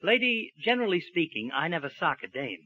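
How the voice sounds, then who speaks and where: A menacing American racketeer in an old radio play, talking in blunt gangster slang. His voice is high and very forceful, on a dull vintage recording. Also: very animated, fairly quick, exaggerated voice. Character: tough male racketeer, menacing, slangy, sarcastic, short-tempered. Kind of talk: 1940s radio acting.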